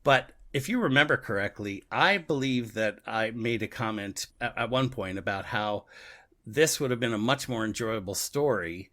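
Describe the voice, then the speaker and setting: A man's voice: on the low side, animated, normal speed, pushed and bright. A man co-hosting a podcast in casual, reflective conversation, often repeating himself for emphasis. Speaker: podcast co-host. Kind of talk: casual discussion of an audio drama.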